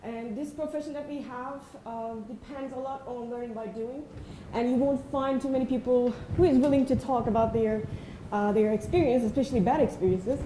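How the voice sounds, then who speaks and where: A woman speaks with a high, mellow voice. She talks in an earnest, friendly way and pauses often with "uh". The sound has a roomy echo and faint background noise. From Turkey. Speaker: invited speaker, assistant professor. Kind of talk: conference talk. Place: lecture room. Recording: echoey room, faint noise.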